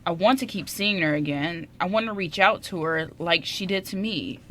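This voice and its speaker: Woman playing a low-pitched, bashful young man. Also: normal speed, fairly bright tone, natural voice. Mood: earnest, bashful. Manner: hesitant, stammering. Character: shy, smitten, deaf young man, sensitive musician. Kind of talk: amateur radio drama script reading.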